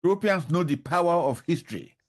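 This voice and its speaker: A man's voice: a little high, expressive, fast talker. An older man answering interview questions, emphatic and repeating his key points. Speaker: professor, interview guest.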